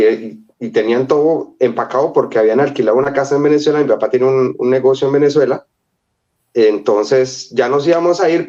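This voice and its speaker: A man from Colombia, a guest in an interview, rambling through animated anecdotes and acting out quoted voices. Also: medium pitch, muffled recording. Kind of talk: casual storytelling.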